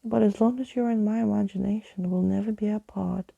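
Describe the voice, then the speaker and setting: A woman voicing a kind, plucky young girl in a storybook, earnest and tender, with a soft, breathy voice. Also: mid-range voice, fairly even intonation, a little fast, muffled recording.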